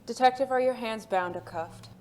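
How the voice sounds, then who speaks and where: A woman playing a refined, secretive former spy in an audio drama, speaking formally and haltingly, with endearments. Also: a little high, very noisy, natural voice. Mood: cold, demanding.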